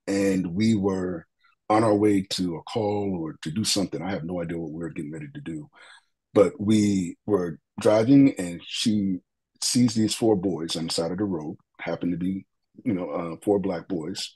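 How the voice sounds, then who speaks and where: A man with a deep voice, a guest in an interview, telling an earnest, reflective story with frequent fillers and restarts. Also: a little mellow, poor audio. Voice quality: deep, husky voice.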